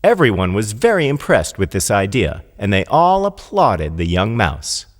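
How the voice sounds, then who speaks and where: A man's voice: fairly low pitch, wildly swooping pitch, moderate pace, in a large hall. A man narrating a children's fable as a storyteller, reading from a script.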